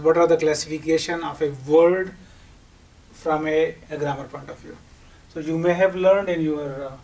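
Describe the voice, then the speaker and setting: Distant-miked Pakistani man teaching, slightly high voice. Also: a little mellow. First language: Urdu. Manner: explanatory, posing questions then answering them. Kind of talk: instructional lecture. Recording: distant microphone, audible background noise.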